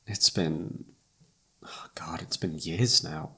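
Young male best friend played by a man, romantic audio roleplay. Husky with vocal fry, intimate, emotional, halting, self-reproachful. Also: deep voice, steady tone, sharp tone, exaggerated voice. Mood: nervous, tender.

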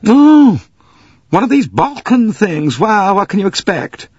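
Man putting on a loud, wailing older lady. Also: sits high, sing-song, a little slow, husky, no echo, lo-fi sound, voice pushed up, heavily acted. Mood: indignant wailing. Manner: loud, complaining, tearful.

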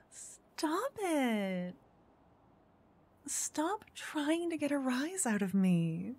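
A woman doing a soft-spoken ASMR roleplay as a flirty dungeon master. She teases, then whispers close into each ear in turn. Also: sits high, sing-song, very slow, long pauses. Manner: teasing, flirty, switching between whispers and soft speech. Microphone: close binaural mic.

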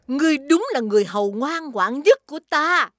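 A woman's voice: high-pitched, expressive, fairly quick, fairly bright tone, no room sound, studio quality. A woman voicing a vain, spiteful old witch in a children's cartoon, speaking in a gloating, imperious manner. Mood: bossy, scornful. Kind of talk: children's cartoon villain acting.

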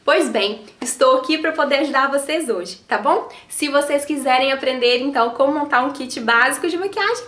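A woman's voice: high-pitched, lively intonation, fairly quick, hardly any pauses, fairly bright tone. A Brazilian woman in her thirties, a makeup artist talking to camera in a beauty tutorial, chatty and affectionate, her voice slightly nasal from a cold. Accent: Brazilian Portuguese. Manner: chatty, affectionate, ends phrases with tag questions. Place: small indoor studio in front of a logo backdrop. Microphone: camera framing head and shoulders. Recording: roomy echo.

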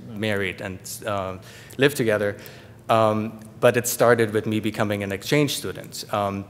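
German-accented man, middle-aged, guest lecturer; lowish voice, halting delivery, reverberant hall. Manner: halting, many fillers and restarts. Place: large lecture hall, at a podium. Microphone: podium microphone. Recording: long hall reverb, faint rumble.

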